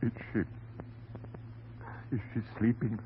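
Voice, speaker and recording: An actor playing an anguished, hot-tempered, guilt-wracked husband in melodramatic, stammering old radio horror style. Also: male voice, sits high, big pitch swings, slow, creaky, full character voice. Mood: guilty, pleading. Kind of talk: old-time radio horror drama acting. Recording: muffled, noisy old radio recording.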